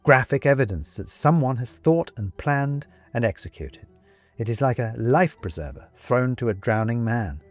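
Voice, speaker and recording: A man's voice: mid-range voice, animated, moderate pace, dry recording, faint music behind. A man reading scripted audiobook narration expressively, as a storyteller. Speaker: story reader.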